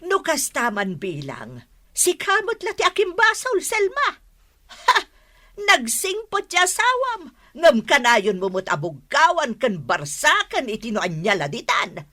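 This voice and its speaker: Stern, forceful older mother. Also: high voice, huge pitch swings, unhurried, full character voice. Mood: reproachful. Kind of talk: radio drama acting.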